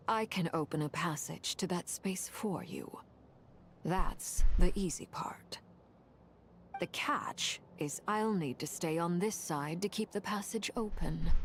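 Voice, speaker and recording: Cool, commanding game woman, low and level, formal. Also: a little hiss or hum. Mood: calm, measured. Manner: calm, measured, formal. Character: composed, commanding adult woman of fire and ritual.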